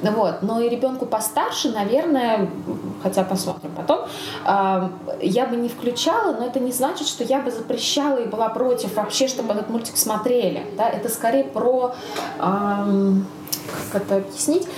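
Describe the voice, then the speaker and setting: Woman w highish voice, tentative thoughtful chat, lots of room echo and noise. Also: moderate pace. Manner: tentative, reflective, self-qualifying. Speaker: podcast co-host. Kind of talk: casual discussion. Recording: echoey room with clear background noise.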